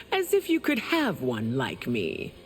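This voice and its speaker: A woman's voice: very deep voice, wildly swooping pitch, drawn-out words, music in the background, heavily acted. A woman playing a proud, imperious, mocking warrior queen in a video game, speaking in a haughty, commanding, theatrical way. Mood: mocking, amused. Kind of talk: video game character dialogue.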